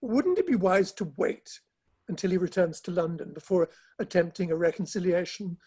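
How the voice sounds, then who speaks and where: A woman playing a curious, sensible Victorian confidante in a play reading, polite, measured and gently probing. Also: fairly low pitch, expressive, husky. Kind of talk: online dramatic play reading.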